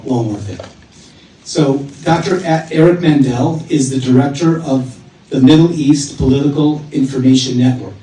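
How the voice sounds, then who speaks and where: A man's voice: mid-range voice, dark tone. A man introducing a guest speaker at a theater event, reading a prepared bio with small hesitations. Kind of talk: formal introduction. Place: theater auditorium. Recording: echoey hall from far off.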